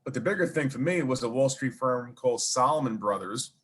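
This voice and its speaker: A man's voice: mid-range voice, lively intonation, a little fast, a bit bright, slightly rough. A man with a Brooklyn accent, a guest telling long stories in an interview, rambling with many fillers and asides. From New York. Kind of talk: casual storytelling interview.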